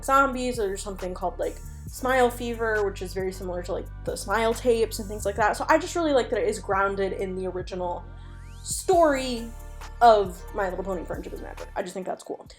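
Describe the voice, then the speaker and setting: A woman's voice: medium pitch, lively intonation, brisk, faint music behind. A woman giving casual, chatty commentary as a video host, speaking with enthusiasm and emphasis. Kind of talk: casual commentary on an online animated horror series.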